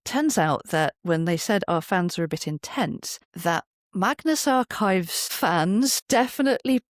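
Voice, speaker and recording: Androgynous guest voice, medium pitch, animated anecdotal chat, close dry booth sound. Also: measured pace, no room sound. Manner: animated storytelling, acts out remembered remarks. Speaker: guest, voice actor and narrator. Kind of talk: casual anecdotal interview chat. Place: home recording booth.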